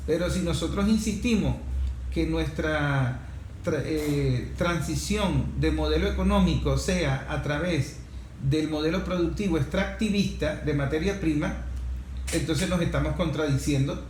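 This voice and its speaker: A man's voice: a little high, darkish tone. Venezuelan male host in an echoey room. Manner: deliberate, repeats phrases for emphasis. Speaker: interview host. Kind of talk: measured political commentary and interviewing. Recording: echoey room, faint background noise.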